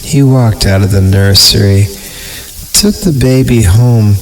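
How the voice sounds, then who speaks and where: A man's voice: fairly low pitch, unhurried, a little hiss or hum. A man hosting a podcast, reading horror stories and chatting about them over background music. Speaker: podcast host and story reader. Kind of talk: horror story narration and casual commentary.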